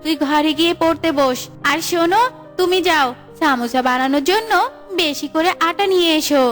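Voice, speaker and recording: Bossy cartoon fox wife played by a woman, extremely high voice, nagging and teasing, faint music underneath. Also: normal speed, fairly bright tone. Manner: scolding, teasing. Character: sharp-tongued bossy fox wife and mother. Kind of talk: Bengali cartoon voice acting.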